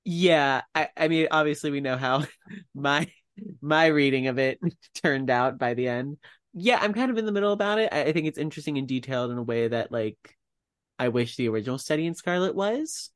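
A man's voice: a little high, a little fast, clean tone, no echo, clean studio sound. A man co-hosting a casual chat in a lighthearted, slightly hesitant way. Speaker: podcast host.

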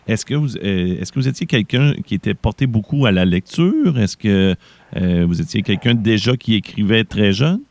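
A man asks his guest calm, probing questions. His voice sits a little low. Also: moderate pace. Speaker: interviewer. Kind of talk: interview questions.

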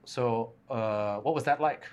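A man's voice: medium pitch, takes it slowly, darkish tone, smooth. A man hosting a podcast interview at a conference, asking friendly, curious questions. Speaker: podcast host. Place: conference venue.

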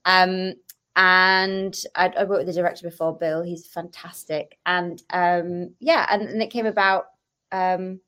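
A woman's voice: on the low side, steady tone, unhurried, pushed and bright. A woman podcast guest, an actress and comedian, chatting casually and telling anecdotes, often acting out the dialogue.

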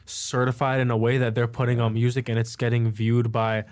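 A young man speaks as a podcast guest, relaxed and easygoing. His delivery stays level with little rise and fall, mid-pitched for a man. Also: a little fast. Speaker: rapper guest. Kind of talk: casual interview talk.

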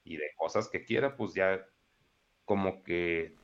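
Mexican dude in his 30s, very low voice, rambles on with slang. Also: slow pace, highs cut off. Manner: slangy, rambling, peppers speech with "güey". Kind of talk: casual chat.

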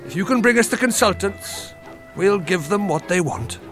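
A man's voice: sits high, animated, moderate pace, music in the background. An actor playing a brash, working-class Welsh male politician with a Welsh accent, speaking in a wry, self-mocking and defiant way. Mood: wry, cocky.

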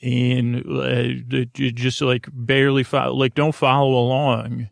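A man hosting a podcast in a rambling bedtime monologue, halting and often interrupting himself as he meanders. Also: medium pitch, flat delivery, creaky, no echo, clean studio sound. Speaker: podcast host.

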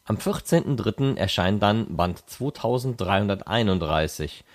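A man's voice: fairly low pitch, expressive, normal speed. A man hosting a podcast, reading out scripted previews and announcements. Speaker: podcast host.

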